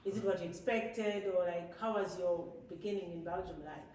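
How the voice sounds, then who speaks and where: A woman's voice: fairly low pitch, steady tone, moderate pace, mellow. Casting note: woman host, chatty and eager, very roomy echo, mic set back. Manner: chatty, finishes others' sentences. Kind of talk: casual chat. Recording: very echoey room, mic at a distance.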